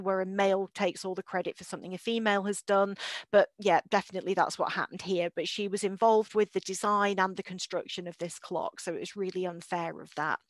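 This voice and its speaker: A middle-aged woman with a British accent presents an informal talk over a video call. Her voice sits at an average pitch with very little room sound. She is conversational and enthusiastic, and open about her opinions. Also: a bit bright, no room sound. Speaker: guest speaker, amateur astronomer. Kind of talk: informal illustrated lecture. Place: indoors on a group video call.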